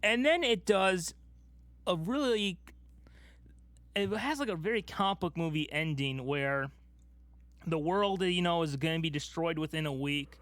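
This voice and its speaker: Male podcast co-host, fairly high voice, casual and animated storytelling, recorded dry. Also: measured pace, pauses often, no echo. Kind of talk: casual chat about movies.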